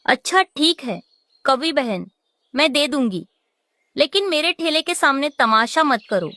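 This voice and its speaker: A woman's voice: high voice, animated, unhurried, sharp tone, no room sound, studio quality, exaggerated voice. A woman voicing a caring, protective mother bird in a children's cartoon. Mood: firm. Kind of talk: animated children's story acting.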